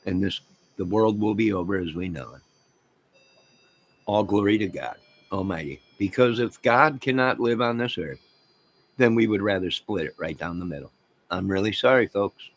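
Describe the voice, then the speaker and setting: A man's voice: on the low side, moderate pace, long pauses. An American man giving a rambling, off-the-cuff rant as an online channel host, speaking in an exasperated, repetitive and emphatic manner.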